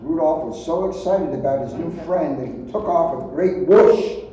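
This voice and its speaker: A man's voice: fairly high pitch, normal speed, warm tone. A man reading a children's storybook aloud, adding his own offhand asides. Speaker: police officer reading aloud. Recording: muffled, very echoey, distant, faint noise.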